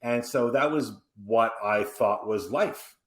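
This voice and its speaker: A man in his forties hosting a podcast, telling stories in an animated, rambling way with many restarts and asides. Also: medium pitch, takes it slowly. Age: 40s. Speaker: podcast host. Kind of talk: casual conversational interview. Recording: roomy echoey room.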